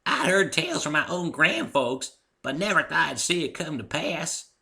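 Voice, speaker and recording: A man voicing an elderly country grandmother pony with a creaky, folksy drawl in a story reading. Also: a little high, moderate pace, pushed and bright, voice pushed up, heavily acted. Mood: wondering. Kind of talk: dramatic fan fiction reading.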